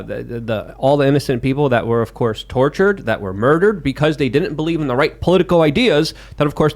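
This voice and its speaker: A man from Poland co-hosting a podcast panel, speaking hesitantly with many fillers and repeated words. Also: mid-range voice. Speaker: podcast co-host. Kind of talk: casual panel talk.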